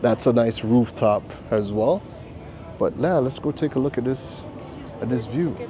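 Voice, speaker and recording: A man from Trinidad hosting a casual vlog, chatty and excitable, laughing often as he talks at a rooftop bar. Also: mid-range voice, measured pace. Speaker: vlogger host. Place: busy rooftop bar and restaurant in a city.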